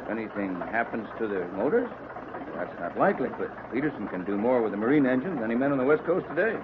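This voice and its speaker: An American man playing a calm, wry, fatherly boat captain in an old-time radio adventure, with an easygoing, reassuring manner. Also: medium pitch, fast talker, natural voice. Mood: wry, thoughtful. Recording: tinny, noisy old radio recording.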